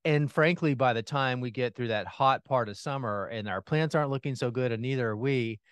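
A man as a podcast guest, talking about gardening with enthusiasm and animated energy, laughing now and then. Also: mid-range voice, brisk, clean tone, no room sound. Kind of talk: casual interview chat. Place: home in Atlanta, remote call.

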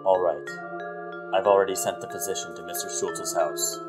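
A man voicing a stern, self-righteous witchfinder in an animated drama, commanding and formal. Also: sits low, normal speed, over loud music, natural voice. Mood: brisk, commanding.

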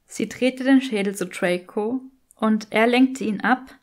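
A woman's voice: a little high, a little slow. A woman reading scripted fiction as an audiobook narrator.

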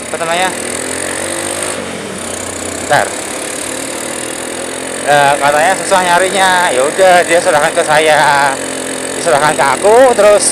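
A man riding a motorcycle, chatting casually in a loose, rambling way. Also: fairly high pitch. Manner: rambling, repeats phrases, pauses to recall words. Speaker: motovlogger. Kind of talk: casual narration while riding. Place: outdoors on a motorcycle on a road. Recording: engine and road noise.

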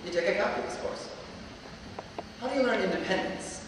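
A man's voice: high-pitched, fairly quick, recorded from a distance, noisy background. A young man in his late teens giving an earnest graduation speech with wry humor from a lectern in a large auditorium. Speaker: student graduation speaker. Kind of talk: commencement address. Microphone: stand mic, filmed from far off. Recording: echoey hall recorded from afar.

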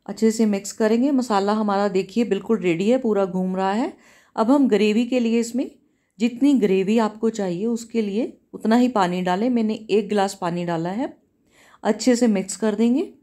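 A woman cooking-channel host, giving a calm, step-by-step recipe voice-over in a home kitchen. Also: mid-range voice, moderate pace. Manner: calm, instructive, repeats steps.

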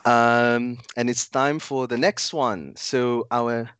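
A young man hosting an online developer conference, talking in a relaxed, chatty way with many fillers. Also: mid-range voice, slow pace, clear, resonant tone, no echo. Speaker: conference host. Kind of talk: casual event hosting. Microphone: headset on video call.

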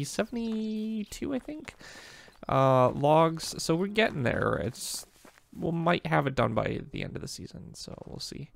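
A man hosting a casual let's play, talking in a relaxed, rambling way with lots of fillers while losing his voice. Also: medium pitch, gravelly. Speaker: gaming channel host.

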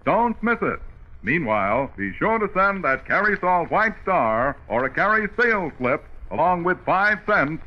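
High-voiced, emphatic vintage radio pitchman. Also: big pitch swings, measured pace. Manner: emphatic, polished. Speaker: radio announcer. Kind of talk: 1940s radio advertising pitch. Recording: dull vintage 1940s radio recording.

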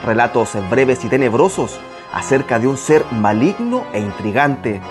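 Chilean male documentary narrator, thirties, very animated pitch, clear music bed underneath. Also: a little slow.

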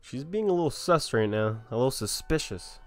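A man's voice: mid-range voice, lively intonation, fairly quick. A man giving casual, laid-back gameplay commentary in short offhand reactions. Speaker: gamer reacting to a game.

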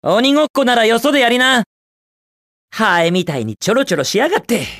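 A man's voice: very high-pitched, very animated, a little fast, a bit bright, no room sound, studio quality, exaggerated voice. A man voicing a cocky, carefree young hedgehog speedster hero in a video game, with a cool, brash, quippy delivery. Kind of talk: Japanese video game character voice lines.

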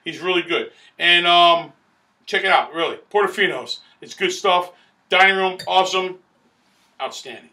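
A middle-aged man talks straight to the camera with loud, boisterous pitchman energy, circling back on the same phrases. His voice sits high for a man and cuts hard and sharp. He sounds recorded in a small indoor space on a camera mic at desk distance. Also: normal speed. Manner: loud, boisterous, salesman-like, repeats himself. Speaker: food critic host. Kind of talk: restaurant review to camera. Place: small indoor studio in front of a banner backdrop.

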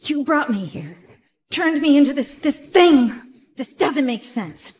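A woman's voice: sits high, big pitch swings, unhurried, darkish tone. Earnest young woman in an echoing hall. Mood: angry. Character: young woman trapped in an inky cartoon world, earnest, determined. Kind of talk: video game voice acting. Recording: echoey hall reverb.

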